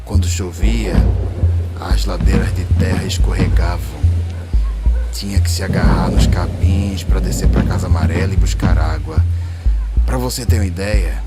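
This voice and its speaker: A man acting as a plain-spoken Brazilian hillside resident in a radio drama, with a deep voice. He recollects community life in a colloquial way while loud music plays behind him. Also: lightly acted.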